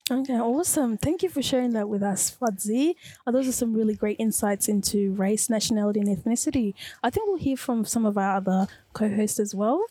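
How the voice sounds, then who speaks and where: A woman's voice: a little high, lively intonation, fairly quick, mellow, no echo, poor audio. A young woman moderating a youth panel, upbeat, thanking each speaker and asking the next question. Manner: upbeat, steering the talk with repeated "okay, awesome".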